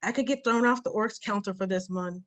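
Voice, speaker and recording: A woman's voice: mid-range voice, brisk, lo-fi sound, close to an everyday voice. Woman playing prim, fretful arty orc. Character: fussy, pretentious, easily frazzled arty orc. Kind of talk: fantasy comedy table read.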